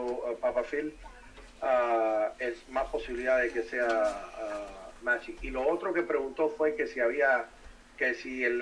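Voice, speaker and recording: A man's voice: medium pitch, distant mic, slight background noise, poor audio. A man with Spanish as his native language, talking casually as a bilingual co-host on a live stream. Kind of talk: casual live-stream discussion, switching between English and Spanish.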